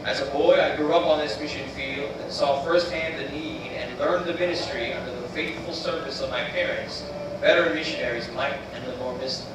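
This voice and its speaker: A man's voice: on the high side, steady tone, fairly quick. Earnest missionary man, echoing from afar. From United States. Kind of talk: narrated missionary presentation. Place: church sanctuary, voice played on screen video. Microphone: recorded from room speakers by far camera. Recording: roomy, distant, noisy church playback.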